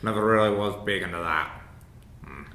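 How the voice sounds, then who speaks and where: A man's voice: deep voice, fairly quick, bright, forceful tone, pitched below the performer's own voice. A man playing a polite, chatty, hesitant dwarf inventor in a tabletop game. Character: awkward, polite dwarf inventor, grieving his father. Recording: roomy echo, clear background noise.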